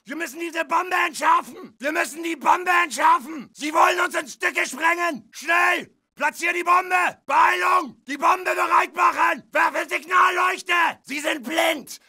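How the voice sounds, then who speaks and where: A man's voice: very high-pitched, a little slow, creaky, few pauses, sharp tone, raised voice, some chatter behind, heavily acted. A man playing an alarmed, aggressive German infantry soldier in a war video game, barking frantic combat warnings. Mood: urgent, aggressive. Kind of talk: shouted combat barks.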